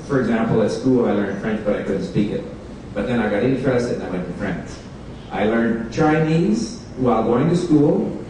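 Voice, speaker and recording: Man at a bookstore event, chatty talker, mid-range voice, picked up far off in a reverberant space. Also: mid-range voice. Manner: relaxed, chatty, switches languages, asks the audience questions. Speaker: speaker giving a talk on language learning. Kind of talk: informal public talk to an audience. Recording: echoey room recorded from far off, background noise.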